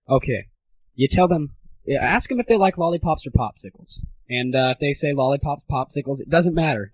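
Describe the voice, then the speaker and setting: Clear-voiced teenage guy, lo-fi recording. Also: fairly high pitch. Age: teens. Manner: irreverent, crude, mock-grandiose ranting. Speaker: host of a gaming comedy audio show. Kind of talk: casual comedic monologue. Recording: dull lo-fi 1990s internet audio.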